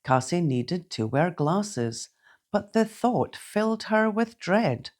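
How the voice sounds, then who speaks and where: A woman reads to small children as a bedtime storyteller. Her low voice moves very slowly and deliberately, with expressive rises and falls and a gentle, theatrical touch. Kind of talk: expressive read-aloud bedtime storytelling in rhyme.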